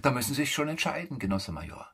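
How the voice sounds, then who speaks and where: An actor playing an insolent, cunning young soldier in a German radio play, mock-polite and sardonic. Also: male voice, medium pitch, big pitch swings, quick pace, fairly bright tone, husky, heavily acted. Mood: coolly provoking. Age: young adult. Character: insolent, cunning young soldier, coolly provoking his superior.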